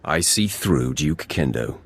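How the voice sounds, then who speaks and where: An actor playing a cold, commanding Sith warrior in a video game, curt and imperious. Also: male voice, very deep voice, lively intonation, slow pace, vocal fry, slightly rough, exaggerated voice. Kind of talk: video game character acting.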